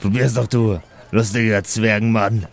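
A man's voice: lowish voice, fairly even intonation, moderate pace, vocal fry, soft background music. A man voicing a surly homeless man in a fantasy game, mocking and goading whoever he faces.

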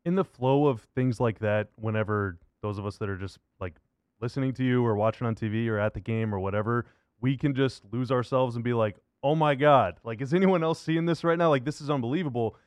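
Very fast-talking man, casual podcast host. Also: medium pitch, a little mellow, dry recording. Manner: informal, often says "like". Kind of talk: casual sports chat.

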